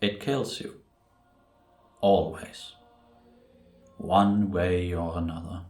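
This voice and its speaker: Very slow, deep, solemn priest acted by a man. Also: steady tone, a little mellow, soft background music. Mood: haunted, brooding. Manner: solemn, deliberate, ominous, with long pauses. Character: grave, brooding male priest recounting a dark tale. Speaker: voice actor in an audio drama. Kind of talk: cinematic dramatic storytelling in audio roleplay. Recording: roomy echo on the voice.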